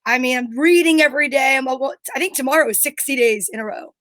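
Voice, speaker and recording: Woman, high voice, dry close sound, upbeat, enthusiastic, casual live-show banter. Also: fast talker, fairly bright tone, no echo. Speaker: co-host of an online comedy show.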